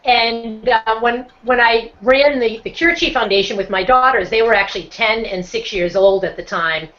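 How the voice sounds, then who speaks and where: A woman's voice: on the high side, normal speed, fairly bright tone. A middle-aged woman from Boston with a Boston accent, talking at length and earnestly as a guest speaker on a video call. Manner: talkative, earnest, self-described talker. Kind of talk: casual online chat. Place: home setup on a video call. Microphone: computer microphone on a video call. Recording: dull lo-fi video-call audio.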